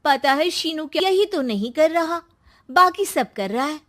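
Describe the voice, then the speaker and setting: A woman's voice: high voice, animated, a little slow, a bit bright, pitched above the performer's own voice. A woman voicing a scheming, greedy young daughter in a cartoon story, coaxing with feigned concern. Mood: sly coaxing. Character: scheming, greedy young daughter poisoning her mother's ears. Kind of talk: cartoon moral story voice acting.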